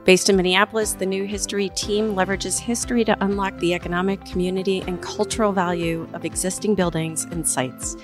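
A woman's voice: medium pitch, steady tone, fairly quick, hardly any pauses, music in the background. A middle-aged woman, a mayor hosting a monthly podcast, friendly and chatty as she introduces and questions her guest. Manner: friendly, chatty, a bit scripted. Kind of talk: podcast interview.

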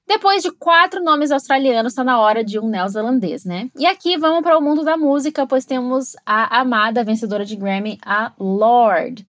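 Lively Brazilian woman hosting a podcast. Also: on the high side, normal speed, few pauses, no echo, clean studio sound. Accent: Brazilian Portuguese. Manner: enthusiastic, explanatory, warm asides. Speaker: podcast host and English teacher. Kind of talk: scripted educational podcast narration.

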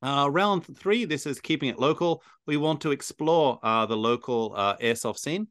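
A man's voice: medium pitch, normal speed, a bit bright, dry recording, clean studio sound. A man hosting a casual interview podcast, encouraging and agreeable. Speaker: podcast host.

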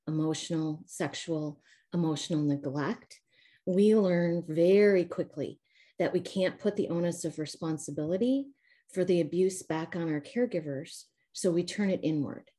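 A woman from the American Midwest with a Midwestern accent mixed with Southern, calmly explaining her coaching work as an interview guest over a video call. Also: fairly low pitch. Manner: calm, explanatory, frequent um and you know. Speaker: trauma recovery coach, guest. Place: home, calling in remotely.

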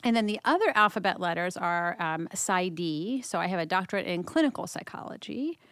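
Woman therapist guest, smooth and friendly, dry room. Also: on the low side, expressive, a little fast, fairly bright tone, no room sound. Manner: friendly, laughs often, thoughtful. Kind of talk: conversational interview answers.

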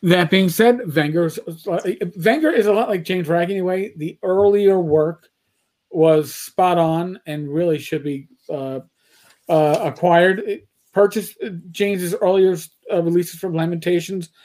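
A man with a high-pitched voice talks in a loose, rambling way. He restarts his sentences over and over and swears freely while co-hosting a casual podcast chat. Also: a little slow, a little mellow. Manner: rambling, stammering, blunt and profane. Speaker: podcast co-host.

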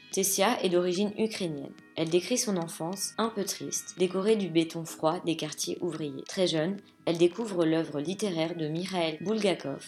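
A woman hosting an art podcast, reading a scripted introduction in a poised, even manner. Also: fairly low pitch. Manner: poised, even read-aloud delivery. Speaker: podcast host.

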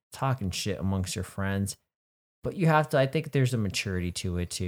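A man's voice: low voice, a little mellow. A tired man co-hosting a podcast, talking casually with a nasal, congested voice and a loose, swearing, self-mocking manner. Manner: loose, profane, self-deprecating. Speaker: podcast co-host.

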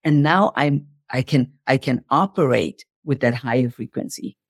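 A woman's voice: very deep voice, normal speed, breathy edge, no room sound. Emphatic woman in a dry, close recording. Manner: emphatic, repeats phrases for emphasis. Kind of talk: impassioned motivational explanation in a conversation.

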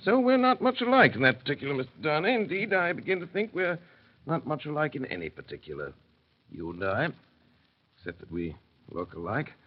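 A sardonic drunken gentleman, swooping voice. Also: fairly high pitch, very animated, brisk, slightly rough. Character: dissolute, bitter, hard-drinking English gentleman, a self-loathing drudge. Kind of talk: 1930s radio drama acting. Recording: muffled old radio broadcast.

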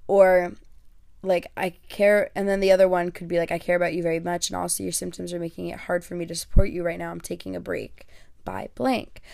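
Young podcast host lady, first language greek, gravelly voice, dry close sound, rambly and chatty. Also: on the low side, darkish tone, no room sound. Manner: chatty, self-deprecating, lots of fillers. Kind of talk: casual solo podcast talk.

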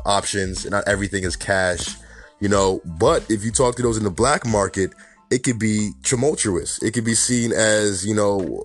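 Hoarse New York man hosting a podcast. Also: lowish voice. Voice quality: raspy. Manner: chatty, fillers like "you know" and "honestly". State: slight cold, hoarse. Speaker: podcast host. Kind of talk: casual solo podcast commentary.

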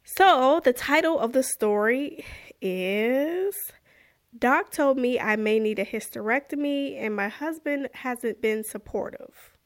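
A young woman hosting a podcast in a casual, chatty way, still a little congested from being sick, with animated exclamations. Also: a little high, takes it slowly. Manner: chatty, animated, self-deprecating, exclaims "woo, child". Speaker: podcast host. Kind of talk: casual podcast chat and story reading.

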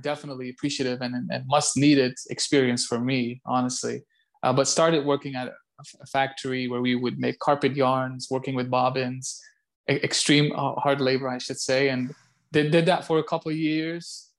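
A man from Belgium speaking as an interview guest, casual and halting, often repeating words as he goes. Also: medium pitch. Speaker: interview guest, call center site director.

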